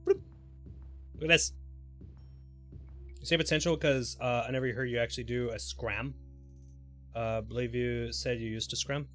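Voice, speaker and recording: A man's voice: medium pitch, fairly even intonation, moderate pace, long pauses, soft background music. A man livestreaming a video game with casual, playful commentary and offhand swearing. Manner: playful, profane, goofy vocal asides. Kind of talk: casual live gameplay commentary.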